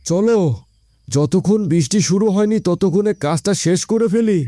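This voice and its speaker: Man as practical village helper, soft mellow tone, high male pitch, cartoon dialogue. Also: normal speed, dry recording, natural voice. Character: helpful, practical young village rescuer man. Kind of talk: animated moral story dialogue.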